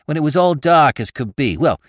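Man doing a squeaky slangy Bowery crook. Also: huge pitch swings, moderate pace, dry recording, clean studio sound. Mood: excited. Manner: tough street slang, eager and breathless. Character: excitable male Bowery crook sidekick, slangy, dim.

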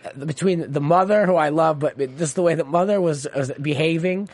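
Sick, animated male co-host, dry sound. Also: a little high, fairly quick, no echo. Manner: animated, dramatic storytelling. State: sick, voice deeper than usual. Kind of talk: casual chat.

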